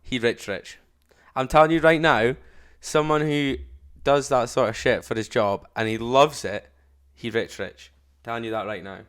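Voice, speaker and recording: Slangy man reacting loudly. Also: mid-range voice, moderate pace, smooth. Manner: blunt slangy chat, loud outbursts. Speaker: streamer reacting to a video. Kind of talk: casual reaction commentary.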